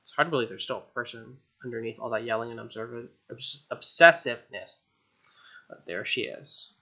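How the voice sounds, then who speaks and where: A male let's play host reads story text aloud and drops in wry, casual comments. His voice is bright and forceful with plenty of fry, on a somewhat dull, band-limited recording. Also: mid-range voice, brisk.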